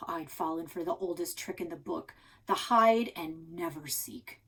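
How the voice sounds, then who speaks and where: A woman narrating a children's novel aloud in an expressive storytelling style. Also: fairly low pitch, measured pace, breathy edge.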